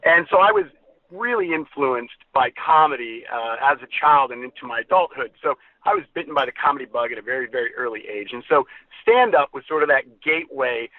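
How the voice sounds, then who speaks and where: A man from the US Midwest, a guest who tells stories in a friendly way and pauses on many uhs. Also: mid-range voice, lively intonation, no room sound. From Ohio, US Midwest. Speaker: guest, comedy performer and co-founder. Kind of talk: interview conversation. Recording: dry, tinny phone line.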